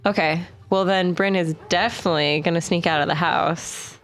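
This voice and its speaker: A woman player in a tabletop game, casual and quick to react while playing her character. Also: lowish voice, steady tone, faint music behind.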